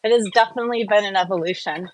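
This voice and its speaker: A woman's voice: medium pitch, very fast, low-quality recording. A woman hosting a casual live Q&A, talking in an informal, rambling, chatty way. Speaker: live-stream host.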